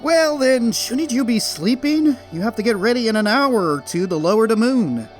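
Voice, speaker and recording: A man voicing a gentle bat pony father in an animated fan audio drama, teasing playfully in a fatherly way. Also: very high-pitched, moderate pace. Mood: gently fatherly. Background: faint music.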